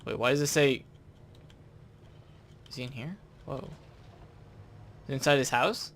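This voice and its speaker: A man's voice: medium pitch, measured pace, gravelly, a bit bright, slight background noise. A man hosting a gaming video, chatting casually and thinking aloud as he comments on his play.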